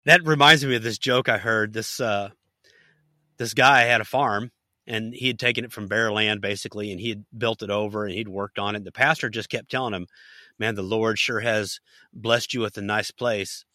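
A man's voice: on the low side, steady tone, moderate pace, sharp tone, no echo. A man hosting a podcast interview, folksy and fond of telling jokes. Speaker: podcast host.

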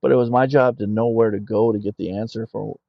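A man's voice: on the low side, fairly even intonation, a little fast, vocal fry, no room sound, muffled recording. A middle-aged man, an army veteran guest on a podcast, talking in a plainspoken way and telling stories. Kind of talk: conversational interview.

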